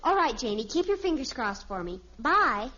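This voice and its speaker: A melodramatic, high-voiced American teenage girl. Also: big pitch swings, normal speed, sharp tone, a little hiss or hum, full character voice. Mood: confiding. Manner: earnest, melodramatic. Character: dramatic, lovestruck, headstrong teenage daughter. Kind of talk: 1950s radio sitcom acting. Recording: muffled old radio recording.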